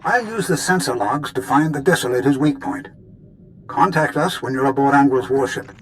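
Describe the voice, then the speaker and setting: An actor playing a clever, grumbling scientist in a video game, wordy and precise. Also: male voice, medium pitch, normal speed, a little hiss or hum, natural voice, rough recording. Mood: analytical. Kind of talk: scripted video game acting.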